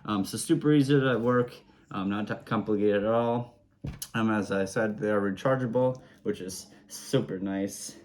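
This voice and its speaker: A man's voice: lowish voice, normal speed, a little mellow, slight background noise. A man giving a casual product demonstration, explaining simple steps in an easygoing, informal way. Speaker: product reviewer.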